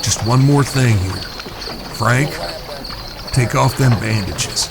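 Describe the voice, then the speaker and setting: An actor in an audio drama playing the cautious, bossy older brother who leads Old West outlaws, with a gravelly voice. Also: male voice, medium pitch, slow, background noise. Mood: firm, weary. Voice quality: creaky, gravelly.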